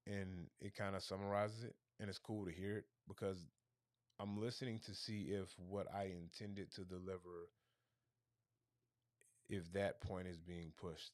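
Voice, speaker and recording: Hoarse, deep-voiced man chatting on a livestream. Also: level tone, a little slow, no echo, clean studio sound. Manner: rambling, thinking aloud with restarts. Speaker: livestream host. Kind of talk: casual livestream chat.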